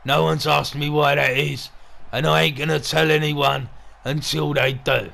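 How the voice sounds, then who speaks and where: A man playing a gruff, bullying wrestling boss and commentator with a throaty growl and a crude, sweary, threatening way of talking. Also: fairly high pitch, flat delivery, measured pace, pushed and bright, heavily acted. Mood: gruff, menacing. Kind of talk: wrestling commentary with in-character banter.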